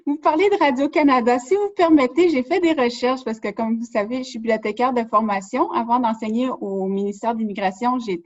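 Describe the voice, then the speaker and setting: Une femme bibliothécaire qui mène une entrevue d'un ton amical. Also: female voice, fairly high pitch, brisk, hardly any pauses. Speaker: interviewer, librarian. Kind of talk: conversational interview. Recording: dull lo-fi sound.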